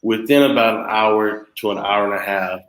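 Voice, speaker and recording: A man's voice: on the low side, fairly even intonation, moderate pace, fairly bright tone. A man explaining things in a casual chat, in a hesitant, piecemeal way. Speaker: panel guest. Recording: echoey room.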